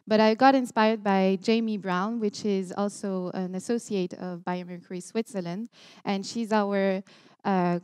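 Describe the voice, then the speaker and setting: A woman's voice: mid-range voice, a little mellow, clean tone. A young woman from Montreal with a Quebec French accent, giving an earnest keynote talk with many ums and restarts, recorded on a headset mic in a large lecture hall. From Montreal, Canada. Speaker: keynote speaker. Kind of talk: conference talk.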